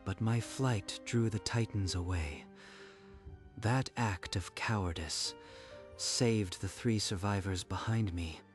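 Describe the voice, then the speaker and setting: A man plays a resigned deserter seeking redemption in an anime-style drama. His very deep voice moves slowly and solemnly, with halting gravity, over faint music. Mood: solemn confession. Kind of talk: anime-style dub acting.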